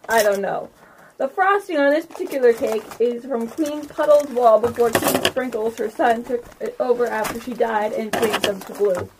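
A woman hosting a tabletop roleplaying podcast, narrating casually as game master. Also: fairly high pitch. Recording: clearly noisy room recording with clicks.

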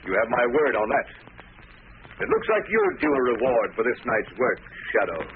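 An actor playing a brisk police commissioner in an old radio drama, on a thin, hissy broadcast recording. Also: male voice, medium pitch, expressive, phone-quality sound, lightly acted. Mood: businesslike. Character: brisk, gruffly respectful male police commissioner. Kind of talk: 1930s radio drama acting.

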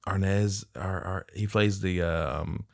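A man's voice: deep voice, a little slow, gravelly. A man co-hosting a podcast, recapping a movie scene by scene in loose, profane, laughing chat. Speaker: podcast co-host. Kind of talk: casual movie review chat.

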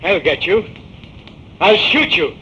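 An actor playing a crazed, greedy old smuggler with a slow, menacing delivery in 1940s radio melodrama. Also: male voice, sits high, animated. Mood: panicked, threatening. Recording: muffled, noisy 1940s radio recording.

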